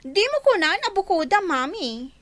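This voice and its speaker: An actress playing a spoiled, defiant student daughter in an Ilocano radio drama, pouty and whining, with an Ilocano accent. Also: female voice, extremely high pitch, sing-song, moderate pace, a bit bright, no echo, full character voice. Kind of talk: Filipino radio drama acting.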